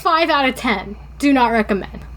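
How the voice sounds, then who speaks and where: A woman with a high-pitched voice chats casually as a podcast co-host. She is expressive and giggly and tends to ramble through her thoughts. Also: normal speed, a little hiss or hum. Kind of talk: casual banter.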